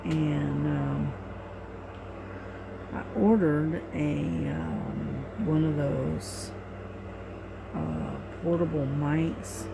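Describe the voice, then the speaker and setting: A middle-aged woman with a low voice, talking quietly and sleepily in a rambling way while diamond painting at home, recorded on a cell phone. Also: drawn-out words, creaky, pauses often, noisy background. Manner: quiet, soft-spoken and rambling. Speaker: crafting vlogger.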